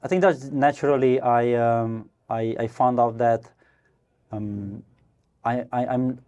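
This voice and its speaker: A man from Romania with a mellow, darker tone speaks as a podcast guest. He talks casually, stopping and restarting with many fillers and the odd laugh. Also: medium pitch, steady tone, slow. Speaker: guest, startup co-founder. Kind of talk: casual interview answers. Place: brewery podcast studio.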